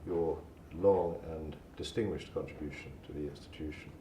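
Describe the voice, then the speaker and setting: A man's voice: rumbling low, moderate pace, mellow. A man giving a formal award presentation speech as a museum director. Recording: distant, echoey, noisy room recording.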